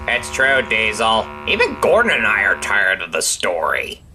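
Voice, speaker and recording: A man's voice: on the low side, wildly swooping pitch, normal speed, bright, forceful tone, faint music behind, exaggerated voice, rough recording. A man voicing a cheeky, vain steam engine in a cartoon dub. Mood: weary disdain. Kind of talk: cartoon-style character dub.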